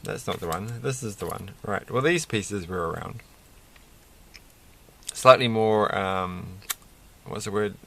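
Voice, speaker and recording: A middle-aged man with a croaky voice, chatting casually and haltingly through a hobby build, often searching for words. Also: on the low side, a bit bright. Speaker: Lego build video creator. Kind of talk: casual hobby vlog, unscripted commentary while building. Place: indoors at a desk.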